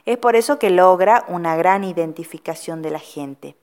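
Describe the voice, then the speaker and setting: A woman's voice: on the low side, moderate pace, a little mellow. A woman with an Argentine accent presenting an educational audio lesson like a teacher, putting rhetorical questions straight to the listeners. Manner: didactic, addresses listeners directly.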